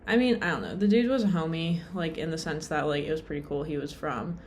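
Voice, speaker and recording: A woman's voice: low voice, fast talker, a little mellow, a little hiss or hum. A young adult woman vlogging in a casual, rambling way, full of fillers and self-deprecating asides, sounding tired.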